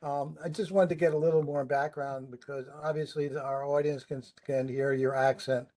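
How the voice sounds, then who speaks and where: A man hosts a relaxed interview, talking in long, rambling runs. His voice sits at a middle pitch, and he fills his pauses with "um" as he works toward his questions. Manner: chatty, rambling, many ums. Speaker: podcast host. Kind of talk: casual interview conversation.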